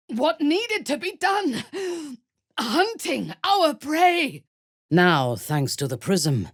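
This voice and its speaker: An actress playing a cold, ruthless dark elf warrior-priestess in a video game, haughty and deliberate, with a breathy, husky voice. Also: female voice, low-pitched, no room sound, clean studio sound, exaggerated voice. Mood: fierce, then measured, haughty. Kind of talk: video game character dialogue.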